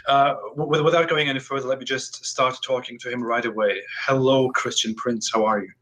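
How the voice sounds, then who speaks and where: A man's voice: mid-range voice, steady tone, fairly quick, a bit bright, rough recording. A man hosting an audio call interview, speaking hesitantly with frequent restarts. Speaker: host.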